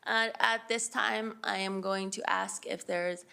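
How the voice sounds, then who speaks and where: Woman mayor, chairs a council hearing, slow and measured. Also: mid-range voice, fairly even intonation, gravelly, pushed and bright, smooth. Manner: measured, procedural. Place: council chamber.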